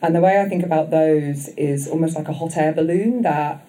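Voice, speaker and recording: Woman, husky voice, mellow tone, reflective speaker, many pauses and fillers. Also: low-pitched. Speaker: guest speaker at a recovery convention. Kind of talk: personal recovery share. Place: convention session room. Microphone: microphone.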